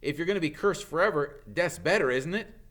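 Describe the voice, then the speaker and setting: A man voicing an anxious, curious young schoolboy in a storybook, speaking in urgent, halting, questioning phrases. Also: a little high, a bit bright, pitched above the performer's own voice, close to an everyday voice. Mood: puzzled, urgent. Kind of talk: dramatic audiobook character reading.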